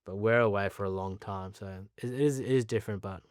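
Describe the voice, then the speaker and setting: A man's voice: on the low side, fast, clean tone, no echo, studio quality. Earnest Australian man in his thirties. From Pakistan, raised in Sydney. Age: 30s. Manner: earnest, chatty, laughs at himself. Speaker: cricketer, interview guest. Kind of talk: candid conversational interview.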